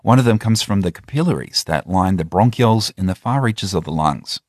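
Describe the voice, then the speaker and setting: A man's voice: deep voice, animated, fairly quick, no room sound, clean studio sound. A man narrating a news report in a scripted way, linking interview clips.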